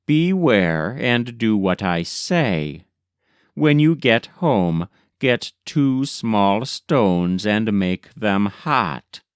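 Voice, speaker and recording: A man voicing a helpful old man in a storybook tale, giving calm, deliberate instructions. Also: lowish voice, smooth, dry recording, clean studio sound, exaggerated voice. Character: helpful old man, calm guide with magical know-how. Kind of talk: storybook reading.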